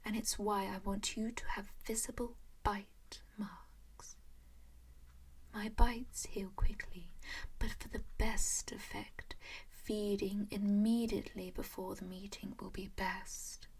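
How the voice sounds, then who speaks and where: A composed vampire noblewoman, voiced by a woman, who speaks only in a slow, airy whisper. She coaxes with pet names like darling and dear, gentle but quietly possessive. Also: medium pitch, little pitch movement, gravelly, breathy edge, exaggerated voice. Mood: soothing whisper. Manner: soft whisper throughout. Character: composed, doting female vampire noble who owns a servant. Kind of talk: whispered ASMR roleplay.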